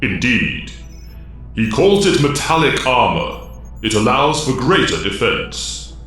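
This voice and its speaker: A man playing a solemn, wise mentor who commands young heroes in a superhero audio drama, speaking formally and gravely. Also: medium pitch, big pitch swings, slow, fairly bright tone. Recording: very echoey voice over clear music.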